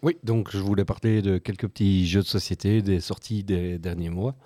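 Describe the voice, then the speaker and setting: A man in his forties explaining board games on a casual podcast, in a hesitant way with many filled pauses. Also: low-pitched, steady tone, heavy background noise. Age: 40s. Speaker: board game podcast panelist.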